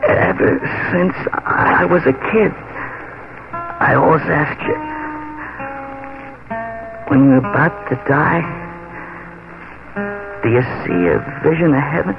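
An actor playing a dying young frontier lawman, halting and stammering with weak breath, in a 1940s radio play. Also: on the high side, animated, gravelly, heavily acted. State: wounded, fading breath. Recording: tinny old radio broadcast with music.

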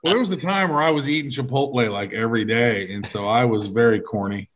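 A man co-hosting a podcast, cracking deadpan, goofy jokes. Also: mid-range voice, fast. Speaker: podcast co-host. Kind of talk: casual chat.

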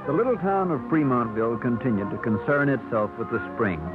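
A man narrating a 1950s radio drama in a measured, portentous way, on a thin old radio recording. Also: medium pitch, sing-song, phone-quality sound. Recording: thin old radio broadcast over music.

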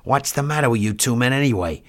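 A man's voice: big pitch swings, a little fast, a bit bright. A glum military doctor in an audiobook, acted by a male narrator. He is whiny and self-pitying, complaining with peevish indignation and distrust of those around him. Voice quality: shrill when upset.

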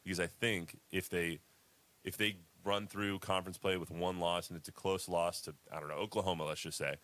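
A man's voice: deep voice, steady tone, fairly quick, fairly bright tone. A man in his twenties co-hosting a casual sports podcast, rambling and laughing often. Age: 20s. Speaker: podcast co-host.

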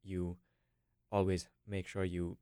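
This is a young man from India with a deep voice and a smooth, clear tone. He is a student guest on a podcast, talking casually in a dry recording space. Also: completely flat delivery, slow, mellow, dry recording. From Bangalore, India. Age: late teens. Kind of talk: casual interview talk.

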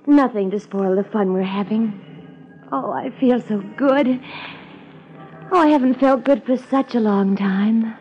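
A woman playing a high-voiced, coy 1950s radio ingenue. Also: a little slow, faint music behind, natural voice. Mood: dreamy, pleading. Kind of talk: 1950s radio drama acting. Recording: dull, lo-fi 1950s radio recording.

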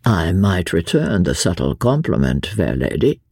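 A narrator voicing a shrewd, courtly French gentleman who speaks quietly with sly gallantry and dry irony in an audiobook. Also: deep voice, big pitch swings, unhurried, no room sound, voice pushed down, full character voice. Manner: quiet, smoothly gallant, dryly ironic.

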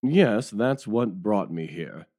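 Man playing a deep, animated, hearty police detective. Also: takes it slowly. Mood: businesslike. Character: hearty, blustering police detective, bossy but agreeable. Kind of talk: audio drama acting.

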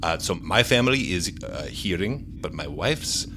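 Man doing halting Italian-accented interpreter. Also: low voice, lively intonation, noisy background. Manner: hesitant, halting, many fillers. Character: middle-aged Italian sign language interpreter. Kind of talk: improvised comedy.

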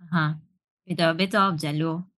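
Bright, teasing woman podcast co-host. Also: deep voice, steady tone. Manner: playful, teasing, quick retorts. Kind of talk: casual debate chat.

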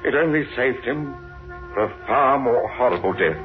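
An actor playing a haunted, tormented man in a 1960s radio horror drama, with dramatic, anguished, halting delivery. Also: mid-range voice, expressive, close to an everyday voice. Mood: brooding, haunted. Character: haunted, tormented man, a reluctant blood donor recounting a nightmare. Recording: muffled old radio recording with music.

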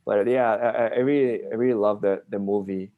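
A man's voice: on the low side, steady tone, a little fast, narrow, tinny audio. A man from Brazil, a film writer-director answering interview questions in a hesitant way, with many restarts and small laughs.

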